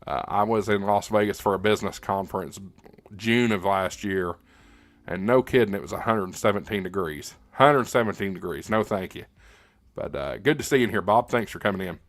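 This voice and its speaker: A man's voice: on the low side, moderate pace, no echo. A man hosting a casual livestream, reading out viewer comments and answering in a conversational, rambling way as he thinks aloud. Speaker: livestream host.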